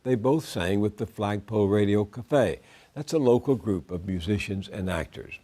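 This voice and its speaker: An older man, a TV host, giving calm scripted narration. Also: on the low side, moderate pace.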